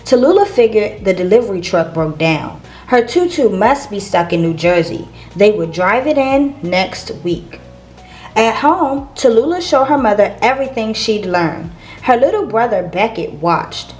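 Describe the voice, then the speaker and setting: A woman's voice: mid-range voice, big pitch swings, measured pace, a little mellow, soft background music. A woman reading a children's picture book aloud as a storyteller.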